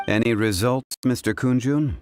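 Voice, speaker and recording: An actor playing a composed, scholarly man in a video game, speaking formally and with quiet authority. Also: mid-range voice, normal speed, soft background music, natural voice. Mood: composed, measured. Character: composed, scholarly, dignified man leading an investigation. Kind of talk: video game character acting.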